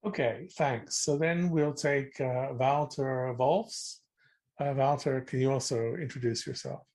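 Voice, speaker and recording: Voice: mid-range voice, a little slow, a little mellow. A seminar chair making brief moderating remarks and handing over to the next speakers.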